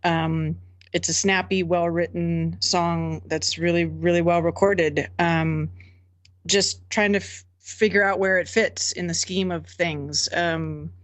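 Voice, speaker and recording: A woman's voice: fairly low pitch, fairly even intonation, takes it slowly, dry recording. Hesitant woman panelist, dry close sound. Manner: thoughtful, hesitant, many ums. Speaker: panelist, music teacher. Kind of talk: casual music review on a podcast.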